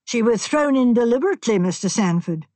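A woman reads a stern, methodical police inspector, pressing his questions flatly and without emotion. The sound is dry and close, with a slightly raised pitch. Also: fairly quick, no echo, lightly acted. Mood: probing. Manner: blunt, unemotional questioning.

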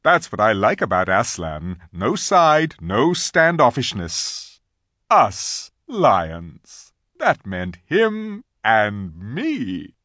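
A man's voice: lowish voice, huge pitch swings, drawn-out words, a bit bright, dry recording, clean studio sound, heavily acted. A man voicing an eager, boastful, simple-minded lion in a storybook. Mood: proud delight. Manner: boastful, repetitive. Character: eager, self-important, simple male lion.

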